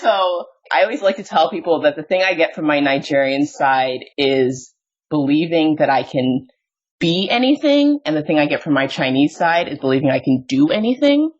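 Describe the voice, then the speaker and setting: A woman's voice: deep voice, big pitch swings, normal speed. A woman hosting a podcast interview, talking candidly and with self-deprecating humor, often breaking into laughs. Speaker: podcast host. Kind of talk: conversational interview. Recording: dull lo-fi audio.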